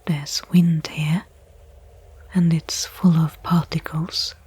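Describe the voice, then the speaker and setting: Breathy, slow, weary stranded woman playing a space explorer. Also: low voice, little pitch movement, a little mellow, no room sound, heavily acted. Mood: weary, resigned. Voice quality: breathy, whispery. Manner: wry, halting, talking to herself. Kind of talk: ASMR audio roleplay monologue.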